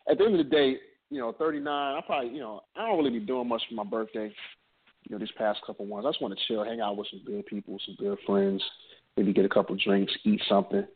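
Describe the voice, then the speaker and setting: A man in his late thirties, a podcast co-host from New Jersey, chatting in a relaxed, joking way. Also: fairly low pitch, slightly rough. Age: 30s. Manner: laid-back, joking, drawn-out storytelling. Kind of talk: casual chat. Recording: tinny phone-like audio.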